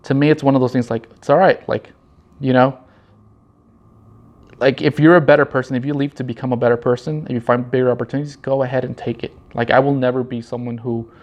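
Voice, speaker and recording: A man from Los Angeles County talks casually and reflectively about himself, halting often and repeating his phrases. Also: medium pitch, fairly even intonation, darkish tone. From Los Angeles County, California. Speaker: vlog host and marketing director. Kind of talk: casual personal monologue, storytelling. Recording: slightly dull, lo-fi sound.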